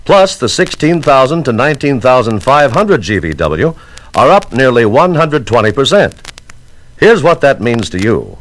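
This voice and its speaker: A man's voice: medium pitch, a little hiss or hum, poor audio. An American man reading scripted 1960s sales training narration in an emphatic, instructional manner.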